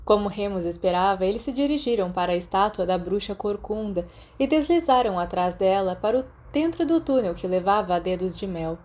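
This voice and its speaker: A woman with a Brazilian accent narrates fiction in audiobook style. Her tone is mellow and dark, at a medium pitch. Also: animated, brisk, few pauses. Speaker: podfic narrator.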